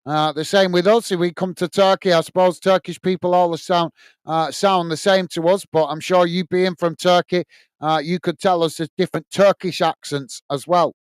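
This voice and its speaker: A man's voice: high-pitched, steady tone, normal speed, smooth, no room sound. A man with a strong Lancashire accent hosting a live football watch-along, calling the play and reading out viewer comments in a chatty way with lots of "uh"s. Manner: chatty, reads out chat messages with filler "uh".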